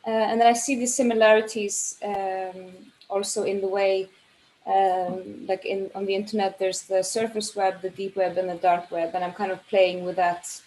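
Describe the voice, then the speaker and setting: A woman's voice: medium pitch, flat delivery, normal speed, darkish tone, slight background noise, rough recording. A woman artist from Iceland, an English speaker, describes her work over a video call with many fillers as she searches for words. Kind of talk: casual spoken introduction.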